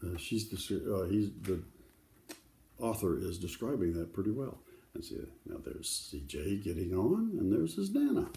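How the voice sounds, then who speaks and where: A man's voice: low voice, sing-song, moderate pace, warm tone. An older man reads a children's book aloud, breaking off for folksy, casual asides that explain the pictures to young listeners.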